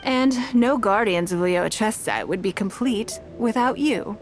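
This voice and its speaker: A woman's voice: medium pitch, animated. A poised, commanding noblewoman. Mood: composed. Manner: measured, composed, authoritative. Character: poised wealthy woman leader, composed and commanding. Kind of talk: game voice acting. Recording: game dialogue over faint music.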